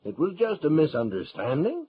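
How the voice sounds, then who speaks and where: A man's voice: a little high, huge pitch swings, normal speed, dull audio, a little hiss or hum. An American man acting as a nervous, flustered townsman who placates the powerful, in an old-time radio western. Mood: pleading, smooth. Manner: fawning, soothing, flustered.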